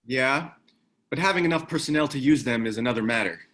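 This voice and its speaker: A man's voice: mid-range voice, quick pace, a bit bright, close to an everyday voice. Man acting a wry, easygoing ex-military ally. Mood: practical. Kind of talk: screenplay table read, naturalistic dramatic acting.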